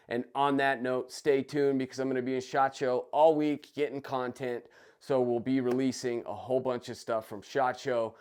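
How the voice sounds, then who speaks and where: A man hosting his own channel, talking casually about his story, his voice raspy and a bit nasal from a cold. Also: mid-range voice. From United States. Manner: enthusiastic, chatty. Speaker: gun channel host. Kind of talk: casual personal vlog. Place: basement room.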